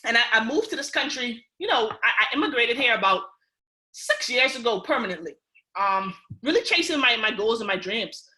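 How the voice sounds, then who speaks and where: A young Bahamian woman with a Bahamian accent gives a motivational pitch to a conference call, animated and casual, often checking in with "right?". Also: normal speed, roomy sound, rough recording. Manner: animated, keeps asking "right?" and "y'all", keeping it real. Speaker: speaker on a recruiting call.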